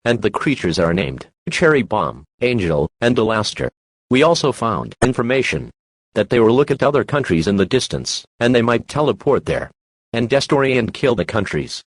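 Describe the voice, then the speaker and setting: A male announcer delivers a scripted emergency alert in a formal, impersonal, synthetic voice. The sound is very dry, with a mid-range pitch and no sense of a room around it. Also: big pitch swings, moderate pace, breathy edge, no room sound, low-quality recording.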